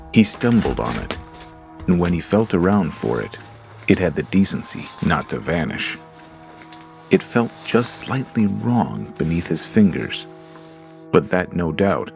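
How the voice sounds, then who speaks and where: A man narrating a horror story in a slow, deliberate storytelling manner. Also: very deep voice, vocal fry, a little mellow. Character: Lars, a frightened man alone in a dark house. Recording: music under the voice.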